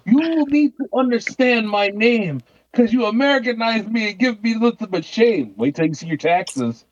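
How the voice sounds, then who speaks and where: A man's voice: sits high, expressive, moderate pace, no room sound, highs cut off. A man co-hosting a podcast, cracking mock-solemn jokes in casual chat. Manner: joking, mock-solemn quips. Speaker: podcast co-host.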